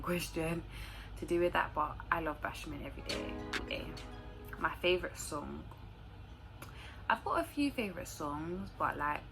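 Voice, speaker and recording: Low-pitched young-sounding London woman, noisy recording. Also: a bit bright. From London, England. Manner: informal, slangy. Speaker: vlogger answering viewer questions. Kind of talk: casual chat to camera. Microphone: phone recording. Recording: clearly noisy room recording.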